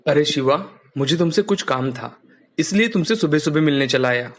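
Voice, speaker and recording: A man's voice: fairly high pitch, lively intonation, moderate pace. A man playing a friendly, hardworking father who drives a rickshaw in a storybook cartoon. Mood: earnest. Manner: polite, earnest.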